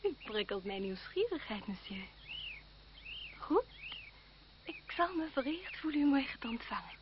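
Proud young noblewoman, high voice, muffled. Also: sing-song, normal speed. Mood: coolly formal. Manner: haughty, theatrical. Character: proud, spirited young noblewoman, haughty yet tender. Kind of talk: Dutch radio play acting. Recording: muffled, noisy old radio play recording.

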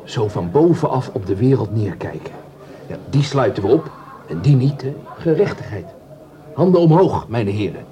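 Actor as a stammering, dreamy down-and-out plumber. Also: male voice, medium pitch, big pitch swings, moderate pace, warm tone, natural voice. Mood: impressed. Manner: stammering, rhapsodic, repeats words. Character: dreamy, penniless plumber, self-mocking, fond of women. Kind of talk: radio play dialogue. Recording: café crowd behind the voice.

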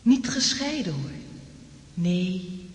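A woman's voice: on the low side, sing-song, extremely slow, a little mellow, husky, heavily acted. An actress playing a ghostly, insistent woman in a radio drama, soothing and coaxing, often whispering. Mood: eerily tender.

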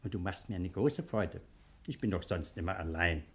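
A man's voice: low voice, moderate pace. An actor playing a kindly, fatherly older uncle in a German radio drama, soothing and courteous. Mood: warm, inviting. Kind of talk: 1960s German radio play acting.

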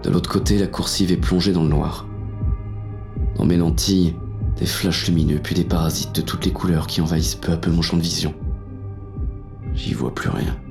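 Bitter hard-boiled cop, really low voice, terse tense thriller narration, bit of music behind. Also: male voice, fairly even intonation, normal speed, gravelly, pauses often. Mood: disoriented, tense. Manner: gritty, terse, intense delivery. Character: hard-boiled male police inspector, wary, bitter, foul-mouthed narrator. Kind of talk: first-person dramatic thriller narration.

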